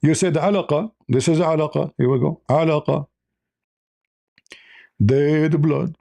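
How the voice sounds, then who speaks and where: A man's voice: on the high side, measured pace, vocal fry, warm tone, no echo. A man whose first language is Arabic, hosting a heated live debate, combative and mocking, repeating himself insistently. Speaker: debate stream host.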